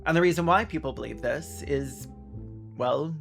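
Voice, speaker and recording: A man's voice: medium pitch, normal speed, creaky, a bit bright, soft background music. A man hosting a video essay with sarcastic commentary, conversational and mock-dramatic in his delivery.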